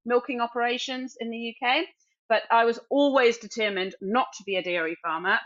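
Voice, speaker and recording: A woman's voice: medium pitch, fairly bright tone. Upbeat Welsh woman, dull sound. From Wales. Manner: upbeat, laughs easily. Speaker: podcast co-host. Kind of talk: casual podcast chat.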